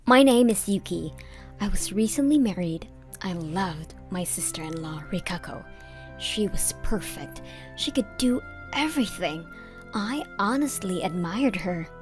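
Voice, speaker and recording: A woman reading scripted first-person story narration for a dubbed comic. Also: fairly low pitch. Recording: music behind the voice.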